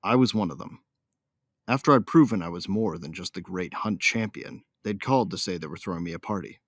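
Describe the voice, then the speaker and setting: A man's voice: low voice, fairly quick, no room sound, studio quality. A man playing a cocky, hot-tempered bounty hunter who tells his own story in a brash, colloquial, hard-boiled way. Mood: smug, easygoing. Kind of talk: first-person dramatised audiobook narration.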